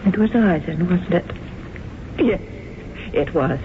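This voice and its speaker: Lead: a guilt-torn stage star, lively voice, tinny radio hiss. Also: female voice, on the low side, slow. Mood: anguished. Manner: emotional, breathless, pleading. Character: celebrated stage actress, anguished and guilt-torn. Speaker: lead character and narrator. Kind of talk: 1970s radio drama acting.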